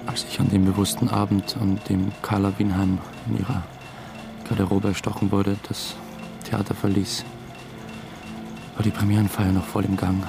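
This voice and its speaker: An actor playing an uneasy, obsessive theatre dramaturge, confessing hesitantly in a radio drama. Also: male voice, fairly low pitch, little pitch movement, moderate pace, creaky. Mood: confessional, ashamed. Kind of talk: first-person radio drama narration. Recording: music under the voice. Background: music.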